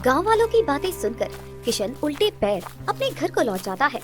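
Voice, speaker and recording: A female narrator tells a scripted story. Her voice sits fairly high, and music plays faintly behind her. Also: sing-song, normal speed.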